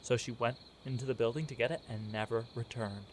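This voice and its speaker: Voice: mid-range voice, steady tone, a little fast, close to an everyday voice. An amateur radio play performer voicing an insistent, spooked college student who believes in ghosts, over cricket noise. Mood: ominous. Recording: noisy recording with chirping crickets.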